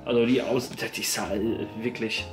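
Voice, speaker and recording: A man's voice: mid-range voice, little pitch movement, normal speed, over loud music, poor audio. A man in his thirties hosting a casual vlog, talking slangy and straight to viewers into a phone held at arm's length. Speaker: vlogger host. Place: arena crowd, then hotel room.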